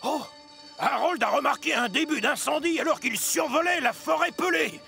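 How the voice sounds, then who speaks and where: Véhicule inquiet, aigu, éraillé. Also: male voice, very high-pitched, normal speed, vocal fry, sharp tone, soft background music, exaggerated voice. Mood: alarmed. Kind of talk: French cartoon dub acting.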